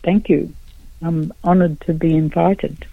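A middle-aged woman from Australia answers radio interview questions over a tinny phone line, her speech slow and unhurried. Her voice carries a gravelly, creaky texture, and the sound is dry and close. She talks haltingly, with many pauses and fillers. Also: low-pitched, steady tone, no echo. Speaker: guest artist.

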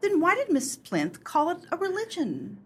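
A woman's voice: very high voice, huge pitch swings, normal speed, fairly bright tone, full character voice. A woman acting an eager, gossipy and silly book club lady in a radio play, gushing and conspiratorial. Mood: knowing.

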